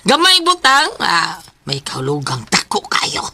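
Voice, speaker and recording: A playful, teasing friend in a Cebuano radio drama. The voice is sharp and bright with animated swings in pitch, over faint noise. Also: male voice, unhurried, vocal fry, heavily acted. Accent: Cebuano Filipino. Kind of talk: Filipino radio drama acting.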